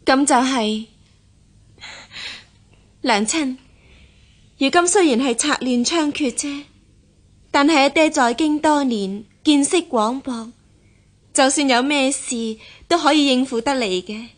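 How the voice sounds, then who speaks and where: High-voiced, confident young lady. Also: slow pace, pauses often. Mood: dutiful, reasoning. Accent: Hong Kong Cantonese. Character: confident young gentlewoman, martial-minded daughter of official's household. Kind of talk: radio drama acting in historical costume piece.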